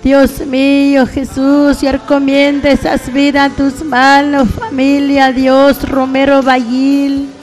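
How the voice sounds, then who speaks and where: A woman with a very high voice leads a fervent intercessory prayer. She pleads slowly and evenly, breaking her prayer into short repeated appeals to God. Faint music plays behind her. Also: monotone, darkish tone, low-quality recording. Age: middle-aged or older. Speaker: evangelical preacher praying on a radio programme.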